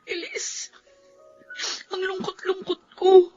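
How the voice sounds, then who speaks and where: A woman's voice: very high voice, slow pace, dark tone, soft background music. A woman playing a long-suffering eighteen-year-old Filipina student in a melodrama, plaintive and aggrieved. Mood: tearful. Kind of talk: melodramatic drama acting. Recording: dull, muffled lo-fi audio.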